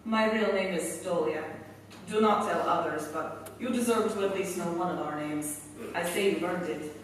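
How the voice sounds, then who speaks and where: A woman's voice: fairly low pitch, normal speed, far from the mic, a little hiss or hum, close to an everyday voice. A woman playing an earnest, tough resistance fighter with formal, clipped grammar in a staged radio play, recorded in an echoey hall. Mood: confiding. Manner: formal phrasing with dropped articles.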